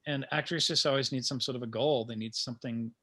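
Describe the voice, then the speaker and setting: A man who is a playwright, talking in a halting, effusive way in an informal discussion. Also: mid-range voice, steady tone, bright, forceful tone. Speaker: playwright, actor and teacher.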